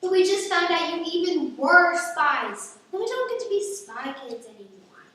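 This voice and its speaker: A woman playing an excitable, scheming little sister in an amateur radio play. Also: very high voice, moderate pace, recorded from a distance. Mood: excited. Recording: very echoey room, off mic, faint noise.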